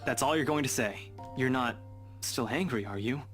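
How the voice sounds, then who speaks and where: An actor playing a proud but awkward young man in a visual novel game, gruff and sheepish. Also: medium pitch, normal speed, fairly bright tone, faint music behind, close to an everyday voice. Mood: flustered, apologetic.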